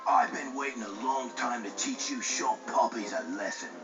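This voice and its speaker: Man as a swaggering Australian bully, animated delivery. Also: mid-range voice, moderate pace, natural voice. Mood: taunting, menacing. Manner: slangy, mocking, threatening. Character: swaggering, menacing Australian racer villain, taunting bully. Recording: dull game audio, music behind.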